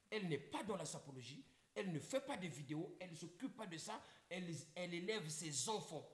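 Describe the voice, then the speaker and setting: A middle-aged man from the Republic of the Congo with a Congolese French accent, speaking emphatically on a live stream, often repeating his points and asking the listener questions. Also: a little high. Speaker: livestream guest. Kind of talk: impassioned live talk. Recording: echoey room.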